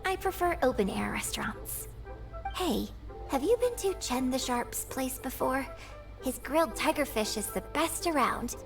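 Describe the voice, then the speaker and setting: A high-voiced young female opera star in a video game, composed and courteous. Her phrasing is measured and refined, heard a little off the mic over a clear music bed. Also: animated, far from the mic, full character voice. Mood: relaxed, pleased.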